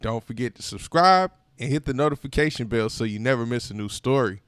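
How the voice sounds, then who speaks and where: A man reading a children's picture book aloud, expressive and playful, with voiced sighs and exclamations. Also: mid-range voice, a little fast, smooth, no echo, clean studio sound. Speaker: storytime reader. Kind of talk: children's read-aloud narration.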